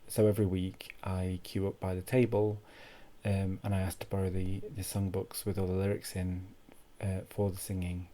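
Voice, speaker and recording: A man telling a comic first-person story in an awkward, deadpan way, halting and repeating his phrases. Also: low-pitched. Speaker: comic monologue host.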